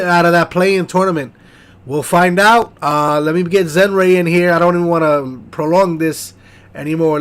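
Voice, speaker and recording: Congested nasal man hosting a sports stream. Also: a little high. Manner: hype, shout-outs, stat rundown with fillers. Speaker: sports podcast host. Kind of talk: casual post-game sports talk stream.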